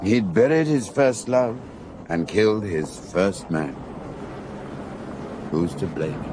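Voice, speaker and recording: An inquisitive reflective novelist. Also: male voice, on the low side, lively intonation, slow pace. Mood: curious, reflective. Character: curious, thoughtful older novelist, man. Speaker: writer listening to a story. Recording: radio drama with clear background hiss.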